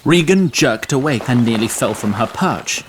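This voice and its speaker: A man reading scripted fantasy narration in a measured, literary, dryly wry manner. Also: medium pitch, lively intonation. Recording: faint ambient noise.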